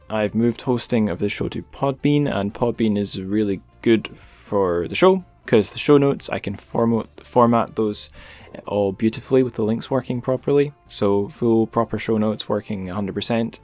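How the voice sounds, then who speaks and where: A man hosting a podcast, talking in a relaxed, rambling way with light jokes. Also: lowish voice. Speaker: podcast host. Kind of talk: casual podcast chat and plugs.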